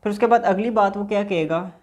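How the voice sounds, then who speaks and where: A man's voice: high-pitched, fast. A man teaching basic English in a recorded lecture, patiently explaining and reading example dialogues aloud.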